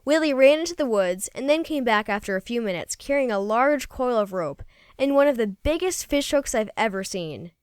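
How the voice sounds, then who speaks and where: A woman reads a children's story aloud with lively, swooping intonation. Her voice is fairly high and smooth, and she tells the tale in a folksy, expressive first-person way. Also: a little fast, dry recording, studio quality. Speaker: storybook reader.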